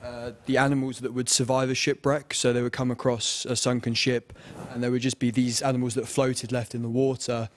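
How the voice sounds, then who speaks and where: A man's voice: medium pitch, level tone, a little fast, few pauses. A man in a lecture audience explaining something to another listener. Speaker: audience member answering a question. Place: large lecture theatre audience.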